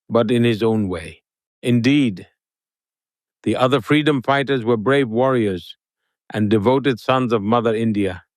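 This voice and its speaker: Brief: male guest voice, mid pitch, measured speech-like delivery, dry mic. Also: no echo. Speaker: interview guest.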